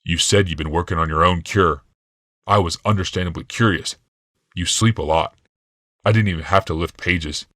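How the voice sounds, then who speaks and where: Audiobook role: manipulative male genius read by a man, low-pitched, smug, casually needling, crisp dry sound. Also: normal speed, fairly bright tone, no room sound. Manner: casual, taunting, smooth explaining.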